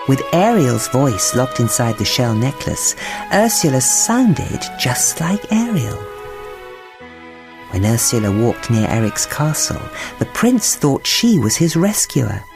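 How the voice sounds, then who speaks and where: A woman narrating a bedtime story in an expressive, measured and dramatic way. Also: low-pitched, over music. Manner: dramatic, measured, acts out characters.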